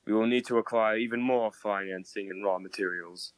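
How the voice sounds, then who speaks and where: A man playing an earnest nineteenth-century gentleman inventor with formal, old-fashioned diction in a comic dub. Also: on the low side, fairly even intonation, fairly quick, no echo, lightly acted. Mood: puzzled, worried.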